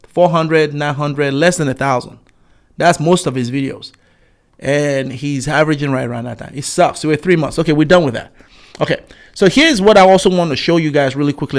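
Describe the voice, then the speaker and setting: A man in his forties talking casually as a YouTube commentator, reading out viewer comments with scorn and many fillers and restarts. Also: fairly high pitch. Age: 40s.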